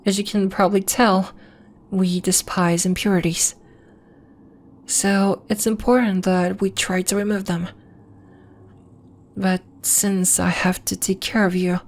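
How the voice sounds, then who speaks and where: A woman playing a gentle, earnest alien healer from the moon in an ASMR roleplay, speaking in a soft, measured, formal and reassuring way. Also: medium pitch, natural voice. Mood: gentle, instructive. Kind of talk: ASMR roleplay, scripted character acting.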